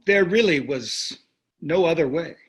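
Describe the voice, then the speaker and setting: A man's voice: on the high side, lively intonation, measured pace. A man acting a wealthy, breezy, self-satisfied husband in a play reading, with a chatty delivery. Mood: reassuring, irritated. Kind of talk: staged play reading over video call.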